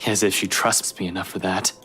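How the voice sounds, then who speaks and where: An actor playing an exasperated, brusque young man with a guarded, sharp tongue in a horror visual novel game. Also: deep voice, flat delivery, brisk, breathy edge. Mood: bitter.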